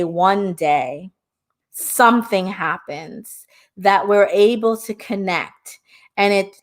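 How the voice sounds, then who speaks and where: A middle-aged woman from Jamaica, hosting a live-stream discussion and speaking passionately, often turning to address her viewers directly. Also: medium pitch. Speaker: host and hypnotherapist.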